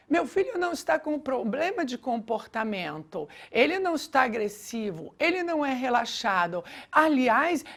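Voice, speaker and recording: High-pitched Brazilian woman teaching through stories. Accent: Brazilian Portuguese. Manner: emphatic, acts out reported dialogue. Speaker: teacher of a religious education lesson. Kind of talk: teaching with a personal anecdote.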